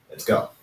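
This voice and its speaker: A man reading a story aloud informally, halting and casual, with dramatic pauses and asides to listeners. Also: very noisy. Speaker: fan fiction narrator reading own recording. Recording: roomy, echoey, distant microphone.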